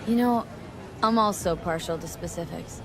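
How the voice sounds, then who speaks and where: A woman's voice: lowish voice, normal speed, a crowd in the background, background noise, close to an everyday voice. An actress playing a streetwise, dry-witted female mercenary in a video game, talking in a cool, wry, casual way. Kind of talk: video game cutscene acting.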